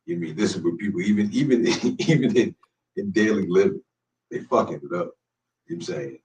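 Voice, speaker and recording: A man's voice: on the low side, fairly quick, darkish tone. A man in casual chat, laid-back and agreeing, sometimes preachy. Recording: distant mic with faint noise.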